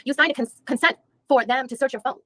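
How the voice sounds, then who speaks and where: A woman reads a dogged female attorney working through a deposition. She fires off methodical questions at a very quick clip, often restarting mid-sentence. The sound is close and dry. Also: high voice, bright, forceful tone, no room sound. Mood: probing. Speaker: reader in a deposition reenactment. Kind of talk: read-aloud legal reenactment.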